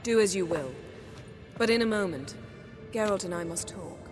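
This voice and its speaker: An actress voicing a proud, sharp-tongued fantasy sorceress who speaks in an articulate, sardonic way for a video game. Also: female voice, medium pitch, lively intonation, normal speed, faint music behind, lightly acted. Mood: coolly flirtatious. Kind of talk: cinematic game voice acting.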